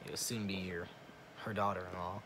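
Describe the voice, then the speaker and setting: A man's voice: deep voice, steady tone, normal speed, noisy background. A young man talking casually as he co-tells a personal story on a vlog. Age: young adult. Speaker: vlogger, new father.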